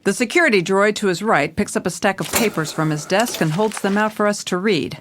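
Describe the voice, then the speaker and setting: A woman's voice: medium pitch, brisk, background noise, lightly acted. A woman playing a jaded, hard-boiled police commissioner, narrating a noir audio drama in a dry, world-weary, stern way.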